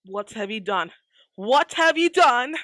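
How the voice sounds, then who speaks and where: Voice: mid-range voice, lively intonation, a little slow, bright, forceful tone, dry recording. An actor playing a stern, confrontational armed patriot who accuses a president in an amateur audio drama. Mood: accusing.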